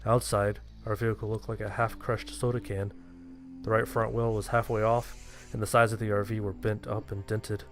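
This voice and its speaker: Male narrator for first-person horror fiction, slightly low voice, music underneath. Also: level tone, normal speed.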